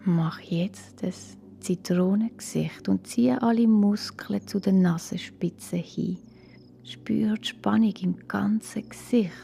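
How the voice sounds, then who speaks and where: Very slow, hushed Swiss German woman. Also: mid-range voice, slight background noise. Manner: calm, soothing, hushed and breathy. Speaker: guided relaxation instructor for children. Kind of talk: slow guided yoga nidra meditation. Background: soft music.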